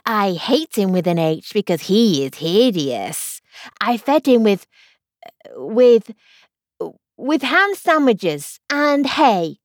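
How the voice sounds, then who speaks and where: A woman's voice: mid-range voice, very animated, slow pace, dry recording, clean studio sound. A woman doing a curious young girl. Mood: eager.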